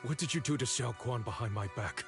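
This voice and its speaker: A man's voice: medium pitch, brisk, gravelly, lightly acted. A man playing an intense, protective young warrior in a video game, grim and defiant in his delivery. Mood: angry, betrayed. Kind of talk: dramatic video game voice acting. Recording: loud game music behind. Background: music.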